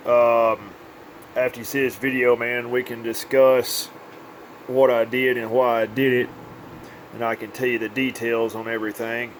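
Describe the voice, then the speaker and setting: Man, mid-pitched voice, folksy repair technician, informal workbench demonstration, faint room noise behind. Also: level tone, moderate pace, rough recording. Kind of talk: informal hands-on demonstration and update for a customer.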